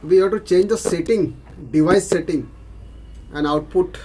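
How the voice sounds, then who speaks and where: A man with an Indian accent speaking haltingly as a participant on a video call. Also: sits high, dark tone, breathy edge, rough recording. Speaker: club session participant.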